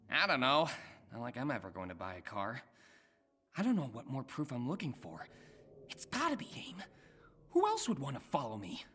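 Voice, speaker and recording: Young male superhero, American accent, boyish, very lively pitch, earnest, nervy, wisecracking, video game performance. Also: breathy edge, full character voice. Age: young adult. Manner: chatty, self-deprecating, boyish.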